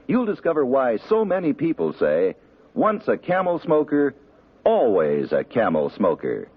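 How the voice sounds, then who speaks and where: A man pitching a product in 1940s radio style. His husky voice swings in pitch as he sells smoothly. The old recording is thin and tinny. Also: huge pitch swings, measured pace. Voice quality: smooth selling delivery. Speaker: commercial announcer.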